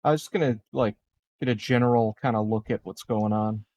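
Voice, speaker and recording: A man's voice: lowish voice, brisk, a little mellow, no room sound, muffled recording. A man talking casually as a player in a tabletop game. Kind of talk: casual chat.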